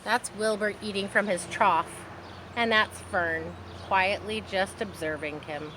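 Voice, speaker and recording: A woman reading a children's story aloud, pausing to explain things simply as if to young listeners. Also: medium pitch, background noise. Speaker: storybook reader.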